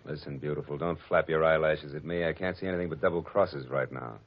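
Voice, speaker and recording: A man's voice: a bass voice, fairly even intonation, quick pace. An actor playing a wry, cynical private detective narrating his case in an old-time radio drama, with a wisecracking, sardonic manner. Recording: dull old radio recording, music behind.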